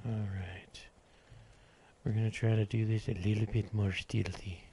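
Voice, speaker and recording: A man's voice: lowish voice, level tone, a little slow, warm tone. A man doing casual live gameplay commentary in a playful, exclaiming way, often talking to himself. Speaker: game streamer.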